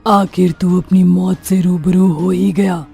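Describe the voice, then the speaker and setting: A woman's voice: fairly low pitch, steady tone, slow pace, creaky, mellow, slightly rough, faint music behind, heavily acted. A woman playing a bloodthirsty, imperious witch who threatens her victims in a dramatized moral story. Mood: gloating threat. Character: menacing bloodthirsty witch who lures and kills villagers.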